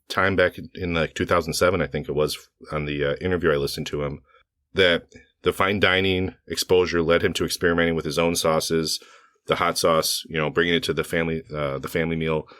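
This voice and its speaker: A man co-hosting a podcast in casual chat, his voice slightly hoarse, rambling with fillers and self-corrections. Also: rumbling low, a bit bright, no room sound. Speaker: podcast co-host.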